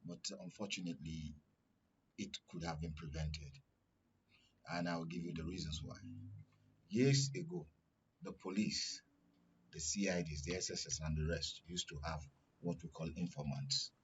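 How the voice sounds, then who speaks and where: A man's voice: low voice, fairly even intonation, normal speed, pauses often, a little hiss or hum. A man with a Nigerian accent giving current affairs analysis as a studio guest, explaining by posing questions and answering them. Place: radio and TV studio. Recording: dull, lo-fi broadcast sound.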